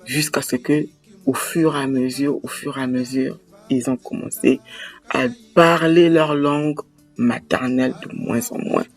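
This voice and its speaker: A man's voice: medium pitch, steady tone, measured pace, soft background music. A young man, speaking emphatically and repeating phrases for weight in a passionate talk. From Republic of the Congo. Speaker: host. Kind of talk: impassioned monologue on language and culture.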